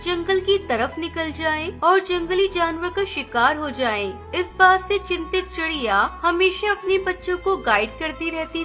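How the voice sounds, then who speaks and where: A woman reading scripted narration of a children's story as its storyteller. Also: very high-pitched. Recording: clear music bed under narration. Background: music.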